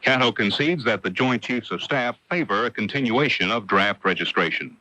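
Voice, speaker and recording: An American male news reporter delivers a scripted radio report in a bright, forceful voice. The sound is dry and close, with the character of an early 1980s AM radio broadcast. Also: on the low side, expressive, moderate pace, no echo, poor audio.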